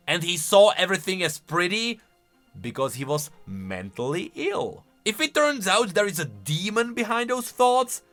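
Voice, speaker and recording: A man giving casual, opinionated reaction commentary as a gaming lore commentator, in an animated manner. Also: fairly high pitch.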